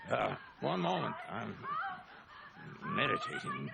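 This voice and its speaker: A man playing a stern, cryptic martial-arts master in a comedy film, imperious and solemn, with a gravelly voice. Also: fairly high pitch, huge pitch swings, slow, heavy background noise, exaggerated voice. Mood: solemn, commanding.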